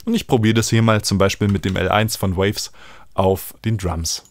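A man, explaining music software informally as he demonstrates it in a tutorial. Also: lowish voice, steady tone, moderate pace, noisy background. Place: small indoor home studio. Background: electronic music he is working on.

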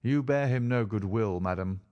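A man's voice: lowish voice, normal speed, dull audio, close to an everyday voice. A man playing a decisive, grave Victorian detective in an audiobook, speaking in a formal, commanding manner. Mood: stern. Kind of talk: dramatic audiobook character acting.

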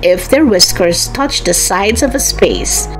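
A woman playing a gentle, reassuring mother in a children's storybook, explaining things kindly. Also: medium pitch, expressive, unhurried, soft background music, close to an everyday voice, rough recording.

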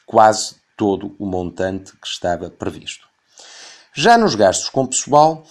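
Measured European Portuguese man, low voice. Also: a little mellow. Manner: measured, reads long figures carefully, critical tone. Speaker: radio commentator. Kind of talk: scripted opinion commentary. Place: radio studio.

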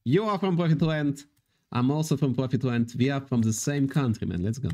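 A man in his thirties hosting a live slots stream from an indoor room, talking loosely to his chat in halting, repetitive English. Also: medium pitch, warm tone. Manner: reads and answers chat, loose non-native English with repetitions.

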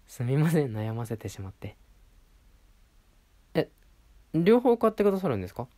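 A man acts out a male clothing store clerk in a situation-voice roleplay. He has a mid-pitched voice with lively intonation. He speaks in courteous customer-service style, attentive to the customer he is addressing. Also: moderate pace, close to an everyday voice. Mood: delighted, grateful. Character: polite, attentive male clothing shop assistant serving a regular. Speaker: voice performer in a situation voice audio.

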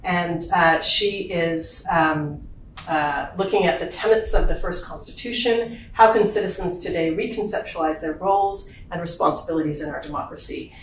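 A woman's voice: lowish voice, slight background noise. A woman acting as host, introducing a guest in a university lecture hall and reading out her bio, with many fillers. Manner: warm, halting with many fillers. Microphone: far room recording from the back. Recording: echoey lecture hall from far off.

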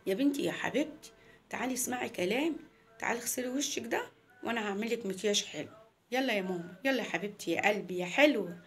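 A woman playing an exasperated mother in a story, by turns scolding and cajoling in a brisk way. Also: fairly high pitch, lively intonation. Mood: coaxing. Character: exasperated scolding mother, coaxing her daughter.

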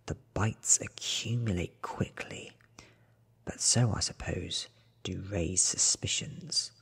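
Breathy whispering man reading a story. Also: deep voice, slow pace, fairly bright tone. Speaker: ASMR book reader. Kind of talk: soft-spoken ASMR story reading. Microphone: close studio microphone.

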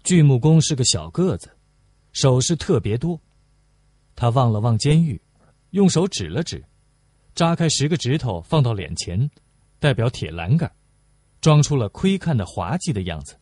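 A man's voice: medium pitch, big pitch swings, normal speed, long pauses, darkish tone, no room sound. A man reading a novel aloud as a scripted audiobook narration.

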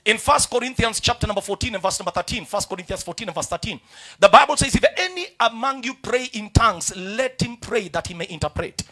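A young Kenyan man preaching a sermon in a large church hall on a handheld mic, calling out to the congregation and rising into shouts. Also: sits high, expressive, quick pace. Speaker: pastor. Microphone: handheld microphone.

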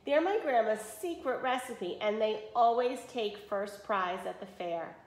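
An eager, trusting little storybook girl, played by a woman, speaking earnestly and politely. The voice is chirpy and fairly high, recorded in an echoey room. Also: unhurried, lightly acted. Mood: proud, polite. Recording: roomy echo of a small room.

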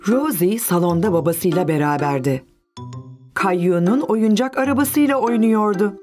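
A woman's voice: medium pitch, animated, normal speed, darkish tone, soft background music. A woman reading scripted narration for a children's cartoon story.

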